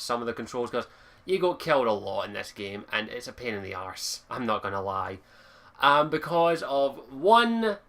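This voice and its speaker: Sweary young Lowland Scottish man. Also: sharp tone. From Scotland. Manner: enthusiastic, frequent swearing, restarts and fillers. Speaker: game reviewer. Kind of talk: casual video game review monologue.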